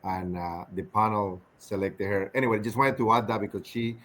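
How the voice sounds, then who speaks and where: Earnest guy on a panel, lowish voice, adds stuff emphatically. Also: steady tone, normal speed, a bit bright. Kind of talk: casual interview.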